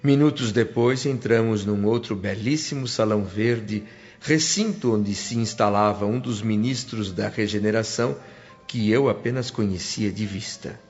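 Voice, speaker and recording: A man's voice: lowish voice, moderate pace, darkish tone, close to an everyday voice. Man acting sincere narrator, slightly muffled, over music. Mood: earnest narration. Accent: Brazilian. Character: earnest, humble male newcomer narrating his spirit-world story. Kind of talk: radio drama narration and dialogue. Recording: dull band-limited recording, music behind.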